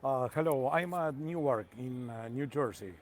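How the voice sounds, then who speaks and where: Argentine man in careful, halting English. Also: medium pitch. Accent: Argentine Spanish. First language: Spanish. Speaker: guest soccer commentator. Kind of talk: casual interview by remote call.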